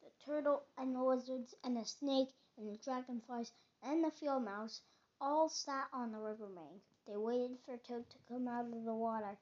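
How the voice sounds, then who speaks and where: A child reading a children's storybook aloud in a halting, stumbling way. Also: mid-range voice, a little mellow. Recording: dull lo-fi recording.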